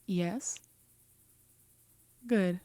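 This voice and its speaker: A woman playing a composed, teasing, possessive female demon in a roleplay audio drama, speaking in a measured, softly haughty way that slips into whispers. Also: fairly low pitch, dry recording. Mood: soft, intimate. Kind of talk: roleplay audio drama, intimate slow delivery.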